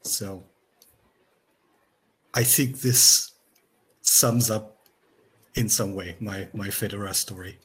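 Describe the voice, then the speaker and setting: An older man from Germany with a German accent, a software developer talking on a video call with many fillers and restarts. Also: lowish voice, slow, long pauses, slightly rough, low-quality recording. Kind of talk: casual video call chat. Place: small room at home. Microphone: headset on a video call.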